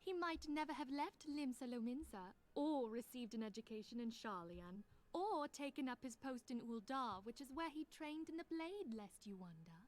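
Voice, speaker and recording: An actress playing an articulate, gently teasing young woman in a video game, with a formal, prim way of recounting. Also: high-pitched, expressive, normal speed, hardly any pauses.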